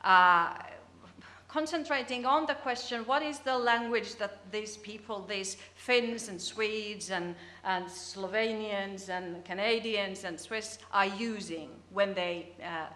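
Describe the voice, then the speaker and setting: Bright-voiced Finnish woman presenting. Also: mid-range voice, measured pace. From Finland. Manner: hesitant, restarts words mid-sentence. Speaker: conference presenter. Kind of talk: academic presentation. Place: conference hall.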